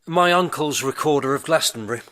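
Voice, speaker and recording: An actor in a radio drama playing a sardonic, inquisitive, educated gentleman novelist and lawyer. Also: on the high side, fairly quick, no echo, lightly acted. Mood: learned, reserved.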